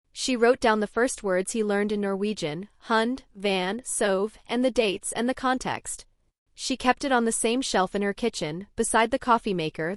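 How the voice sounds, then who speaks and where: A young adult woman from Norway, narrating her own story in a measured, even scripted way. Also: medium pitch, no echo, clean studio sound.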